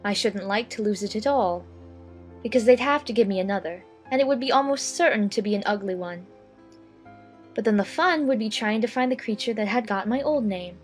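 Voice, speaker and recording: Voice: medium pitch, brisk, faint music behind, voice pushed up, natural voice. A narrator voicing a curious, chatty little girl who muses to herself in a children's audiobook. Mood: musing, chatty. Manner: musing aloud to herself.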